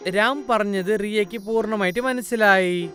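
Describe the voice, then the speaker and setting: A man narrating a cartoon fairy tale in a plain, even storytelling manner. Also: high voice, moderate pace, soft background music. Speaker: narrator and voice of several characters.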